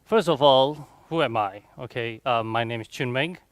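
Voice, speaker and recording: Young man, Malaysian accent, conference speaker, informal and chatty, crisp voice, little room echo. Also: mid-range voice, moderate pace, clean studio sound. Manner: chatty, frequent rhetorical check-ins like "okay" and "right". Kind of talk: informal technical presentation. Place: conference room, on stage before a seated audience.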